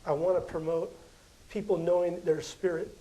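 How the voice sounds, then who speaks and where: A man's voice: high voice, flat delivery, moderate pace, dark tone, poor audio. An American man chatting as an interview guest, explaining things in a casual, conversational way. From Las Vegas, United States. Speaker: guest astrology card reader.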